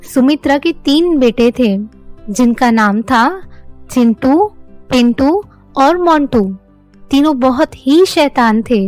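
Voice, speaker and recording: High-pitched woman telling children's stories. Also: lively intonation, slow pace, darkish tone, soft background music. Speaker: storyteller. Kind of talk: scripted children's story narration.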